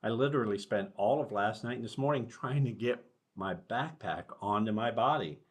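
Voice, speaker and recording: A frustrated storybook snake, read aloud by a man, husky and American. It frets and questions in an exasperated way. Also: lowish voice, moderate pace. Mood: fretful. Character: frustrated, fretful storybook snake without arms.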